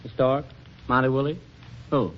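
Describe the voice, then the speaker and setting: A man's voice: medium pitch, steady tone, normal speed, natural voice. Man playing a wry, grumbling 1940s radio husband. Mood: distracted, dry. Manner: dry, sardonic. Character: wry, put-upon husband, grumbling but good-humored. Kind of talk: 1940s radio comedy acting. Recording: muffled, noisy 1940s radio recording.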